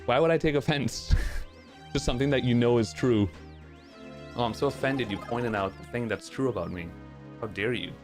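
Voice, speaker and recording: A man's voice: mid-range voice, normal speed, pauses often, over music. A man in his thirties, raised in Trinidad, who speaks with an adopted American accent. He chats casually with his viewers while playing a game, sarcastic and often profane, with frequent restarts. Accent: American, adopted over years of streaming. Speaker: streamer and speedrunner. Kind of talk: casual chat while gaming and reading chat.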